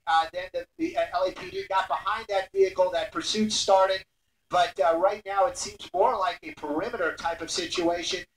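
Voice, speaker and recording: High-pitched man reporting live over roomy link. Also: steady tone, normal speed. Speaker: helicopter news reporter. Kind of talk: live breaking-news commentary. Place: airborne news helicopter. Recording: roomy, distant airborne radio link, noisy.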